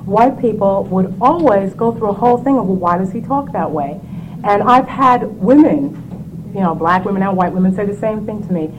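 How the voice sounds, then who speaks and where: A woman from the West Indies speaking thoughtfully in a panel discussion, with many pauses and ums. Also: medium pitch, lively intonation. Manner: reflective, measured, with frequent ums. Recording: noisy room recording.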